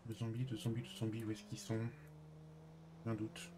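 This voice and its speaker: A man's voice: lowish voice, completely flat delivery, moderate pace, noisy background. A man streaming a role-play game, talking casually and in character with hesitant, stop-and-start phrasing.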